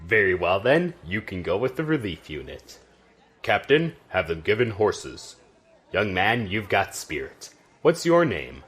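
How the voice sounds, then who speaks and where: A man playing a commanding, weary but fair army general in an audio drama, measured and authoritative. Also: low-pitched, sing-song, a bit bright, breathy edge, heavily acted. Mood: approving. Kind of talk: scripted audio drama acting.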